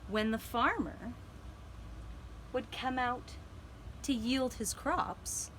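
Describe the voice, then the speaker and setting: A woman telling a gentle children's story for a puppet show, with deliberate, dramatic phrasing and pauses. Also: on the high side, a little hiss or hum, rough recording.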